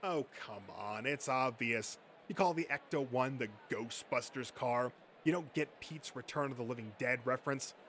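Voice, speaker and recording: A man's voice: medium pitch, normal speed, fairly bright tone, natural voice. A man voicing a hostile, contemptuous gatekeeping nerd in a comic dub, with a sneering, foul-mouthed manner and noise in the recording. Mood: scornful. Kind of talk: comic dub character acting.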